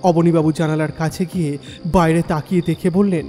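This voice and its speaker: A man's voice: fairly high pitch, steady tone, fairly quick, mellow. A man narrating a horror story dramatically in the first person. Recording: long reverb, faint music.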